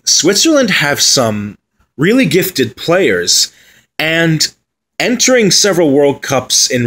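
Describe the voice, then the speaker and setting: A man's voice: medium pitch, fairly bright tone. Casual man previewing a football side. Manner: conversational, with fillers and asides. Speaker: football pundit. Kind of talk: solo commentary on team previews.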